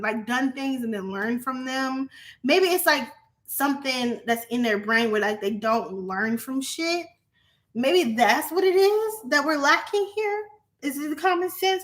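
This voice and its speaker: A young adult woman vlogging in a casual, profane monologue to her viewers, animated and often laughing. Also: high voice.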